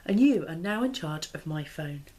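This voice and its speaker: A woman's voice: low voice, expressive, moderate pace, warm tone, a little hiss or hum. A woman reading aloud as a playful, encouraging dad in a children's storybook.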